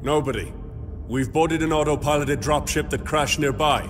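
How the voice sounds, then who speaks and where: Guy voicing sardonic space merc from a video game, terse, loud music underneath. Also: fairly high pitch, moderate pace, close to an everyday voice. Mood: guarded. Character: gruff, cynical male space marine mercenary.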